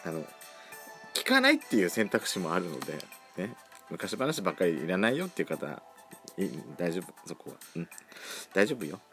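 A man's voice: low-pitched, huge pitch swings, moderate pace, bright, forceful tone. A man around forty hosting a casual solo podcast, chatting with campy, feminine phrasing. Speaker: podcast host. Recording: faint background music.

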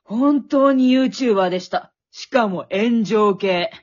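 A woman playing an excitable, wisecracking storyteller, narrating a comic radio drama like play-by-play with dramatic flair. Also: fairly high pitch, takes it slowly. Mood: mock astonished. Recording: dull, lo-fi recording.

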